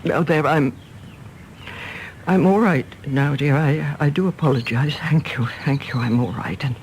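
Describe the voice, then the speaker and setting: An actress playing an anxious, solicitous older mother in a radio play, hesitant and gently coaxing. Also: deep voice, measured pace, a little hiss or hum. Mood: flustered.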